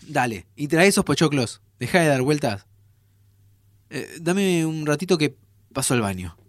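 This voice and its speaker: An actor with a Rioplatense Argentine accent playing an emotional, playful time traveller and devoted friend in a naturalistic audio drama. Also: male voice, on the high side, animated, moderate pace, dry recording, clean studio sound, natural voice. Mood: playful, flustered.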